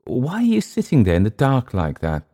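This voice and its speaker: A man's voice: mid-range voice, wildly swooping pitch, moderate pace, warm tone, pitched above the performer's own voice. A man voicing a composed, polite but probing elderly captain in a literary story. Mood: direct. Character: composed, probing old gentleman sea captain.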